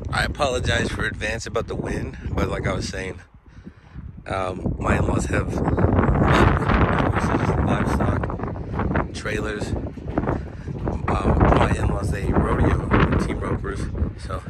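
A man narrating a casual vlog outdoors at a ranch, filming with a handheld phone and talking in an easygoing, relaxed way. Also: fairly low pitch, slow pace, long pauses. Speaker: vlogger. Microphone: handheld phone camera. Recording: loud wind noise outdoors.

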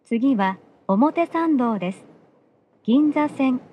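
Slow, high woman train announcer, slightly muffled. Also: expressive, a little hiss or hum. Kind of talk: scripted recorded train announcement.

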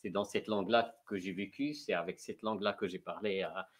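A man from Morocco, a poet and translator, speaking slowly and haltingly with many restarts at a panel talk before an audience. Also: low voice, steady tone. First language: Moroccan Arabic. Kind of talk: panel discussion. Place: café venue with audience.

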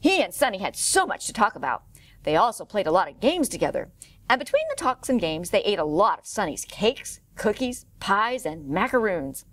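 A middle-aged woman storyteller reading a picture book aloud to children in an expressive way. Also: mid-range voice, normal speed, dry recording. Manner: expressive, clearly paced for children. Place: indoor room, standing before a painted wall.

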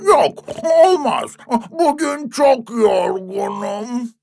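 Creaky, drawling cartoon pig. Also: female voice, fairly high pitch, lively intonation, darkish tone, soft background music, heavily acted. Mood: lazy, whiny. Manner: drawn-out, whining. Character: lazy, sleepy, whiny pig. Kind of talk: children's cartoon fairy-tale acting.

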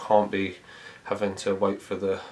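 A man in his thirties speaks as a football manager after a match, reflective and measured with frequent fillers. His voice sits very low and stays flat in pitch. Faint room ambience hangs behind him in a small indoor press room. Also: a little hiss or hum. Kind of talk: post-match interview.

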